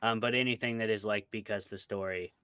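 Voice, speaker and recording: American man, low bright voice, crisp, dry close sound, casual interview chat, opinionated. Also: fairly even intonation, brisk, dry recording. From small rural town in United States. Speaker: guest, author and podcast co-host.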